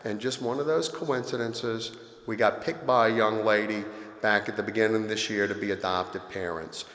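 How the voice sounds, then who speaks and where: A man's voice: fairly low pitch, fairly even intonation, moderate pace, fairly bright tone. Man, 50, from Louisiana; earnest heartfelt speaker, echoey convention hall, long reverb. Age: 50s. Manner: earnest, confessional, emotional. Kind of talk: personal recovery talk.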